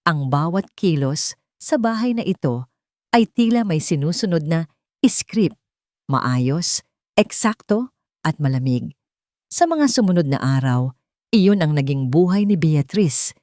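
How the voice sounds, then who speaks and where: A woman with a slightly low voice narrates a scripted romantic drama. The sound is dry and close, with the measured phrasing of an audiobook storyteller. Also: expressive, mellow, no room sound.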